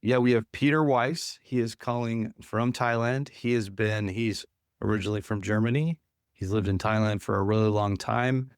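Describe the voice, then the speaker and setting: A man's voice: lowish voice, steady tone, moderate pace, clear, resonant tone, no echo, clean studio sound. A man hosting a podcast, upbeat and friendly, often restarting his sentences as he introduces a guest. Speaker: podcast host. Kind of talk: casual interview chat.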